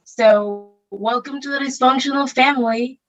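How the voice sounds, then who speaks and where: Wry bad-boy teen counselor, acted by a woman, higher register, slightly dull home audio. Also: normal speed, natural voice. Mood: wry, reassuring. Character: Luke, cool bad-boy teenage camp counselor, wry. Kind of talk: online table read of a stage musical.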